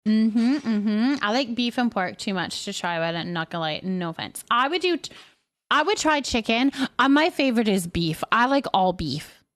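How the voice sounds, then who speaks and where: A woman with a high voice that swings up and down as she talks. She streams live, rambling along casually and chattily as she answers her viewers, with a giggly manner. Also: lively intonation, moderate pace, a bit bright. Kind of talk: casual livestream chat, reading and answering viewers.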